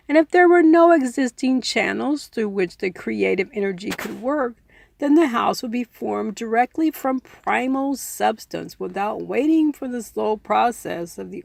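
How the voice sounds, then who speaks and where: A woman reading and explaining a self-help book in measured, deliberate phrases, in the style of an informal audiobook. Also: fairly high pitch, gravelly, dry recording. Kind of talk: audiobook-style reading with casual personal asides.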